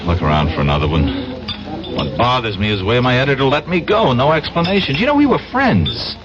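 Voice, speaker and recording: An actor playing an earnest, bewildered young out-of-work reporter in a halting manner in a 1970s radio drama. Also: male voice, medium pitch, very animated, lightly acted. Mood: troubled, puzzled. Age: young adult. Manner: halting, stammering. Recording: muffled, noisy 1970s radio recording.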